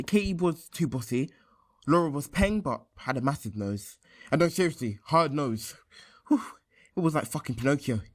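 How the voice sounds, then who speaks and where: A boastful young lad in a comic radio play. His voice sits a bit high, his talk is slangy bragging, and the sound is dry and close. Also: dry recording.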